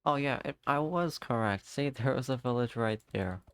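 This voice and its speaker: A man's voice: mid-range voice, normal speed, clear, resonant tone, dry recording. A man streaming a game live, chatting casually with viewers and talking to himself as he plays. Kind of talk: casual live-stream chat while gaming.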